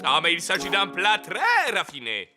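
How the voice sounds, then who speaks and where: A pompous cartoon chef in French. His voice is extremely high for a man, with animated swings and a precise teaching manner, over clear music. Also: normal speed. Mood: proud, instructive. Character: proud, refined chef explaining recipes, pompous.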